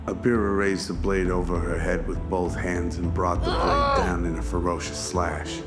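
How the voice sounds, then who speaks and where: A man with a low voice narrates radio-drama action. His delivery is measured and flat in pitch, with loud music running underneath.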